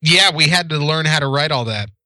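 Bright, jokey man on a stream. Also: on the high side, fairly quick, no room sound, low-quality recording. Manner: laughs easily, jokey. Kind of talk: casual stream chat.

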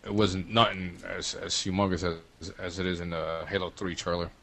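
A man's voice: sits low, flat delivery, moderate pace, vocal fry, a bit bright. A man co-hosting a casual gaming talk show, speaking in a slangy, joking way and often repeating his words. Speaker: podcast co-host. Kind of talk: casual gaming chat, reading out listener ideas.

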